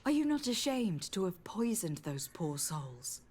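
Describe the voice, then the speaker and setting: A woman's voice: fairly low pitch, big pitch swings, unhurried, a little mellow, a little hiss or hum. An actress playing a principled noblewoman envoy in a fantasy video game, questioning and reproaching with formal composure. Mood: reproachful, questioning.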